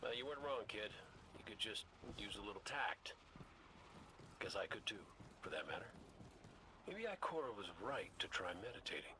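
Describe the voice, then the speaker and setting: A man's voice: lowish voice, sing-song, moderate pace, pauses often, background noise. A man playing a roguish veteran gunslinger in a video game, with a wry, loose, colloquial delivery. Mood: wry, reflective.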